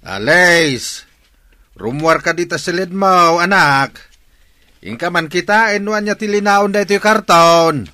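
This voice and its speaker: Man acting forceful, bright-voiced concerned father. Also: high-pitched, a little slow, no echo. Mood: urging, troubled. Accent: Filipino. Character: concerned father of a grown daughter. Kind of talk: Ilocano radio drama acting.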